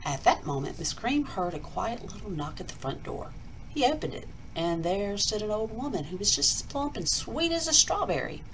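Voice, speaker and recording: A woman hosting storytime, reading aloud to children in an expressive, emphatic picture-book style. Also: medium pitch, normal speed, a little hiss or hum, poor audio. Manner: emphatic, addressing children directly. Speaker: storytime host, school reader.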